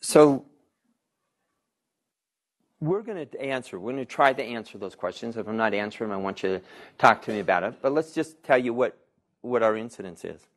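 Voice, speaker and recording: A man's voice: mid-range voice, expressive, brisk, long pauses. A man, a physician, giving a conversational public health talk, repeating audience questions and asking the room rhetorical questions.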